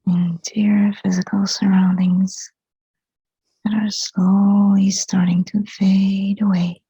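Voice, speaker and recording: A woman guides a meditation in a very breathy, whispered voice. Her delivery is extremely slow and gentle, with little rise or fall in pitch and long pauses between short soothing phrases. The recording is dry and close, and slightly dull. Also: flat delivery, dry recording. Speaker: hypnotherapist guiding a meditation. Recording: dull, muffled lo-fi sound.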